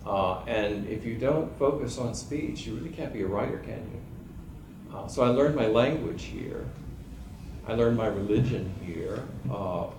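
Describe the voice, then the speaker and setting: Low-voiced musing man in echoey room. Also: animated. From Georgia, USA. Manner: unhurried, musing, many ums and uhs. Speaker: panelist writer. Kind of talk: reflective panel talk. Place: event room in a library. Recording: echoey room with background noise.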